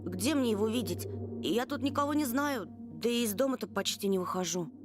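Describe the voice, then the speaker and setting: A woman's voice: a little high, expressive, a little fast, over music, lightly acted. A woman voicing a young boy, a protective older brother, in a visual novel. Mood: uneasy.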